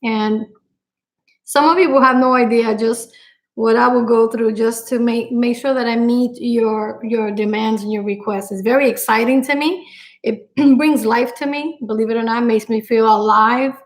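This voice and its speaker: A middle-aged woman from Puerto Rico with a strong Puerto Rican accent, chatting live with her viewers and thanking them, with many pauses and fillers. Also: a little high, fairly even intonation. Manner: warm-hearted, grateful, frequent fillers and pauses. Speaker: cooking channel host. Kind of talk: live stream chat with viewers.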